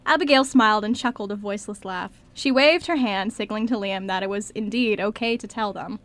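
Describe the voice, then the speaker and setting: A woman's voice: medium pitch, fairly quick, a bit bright. A woman reading scripted story narration as the narrator of an amateur radio play.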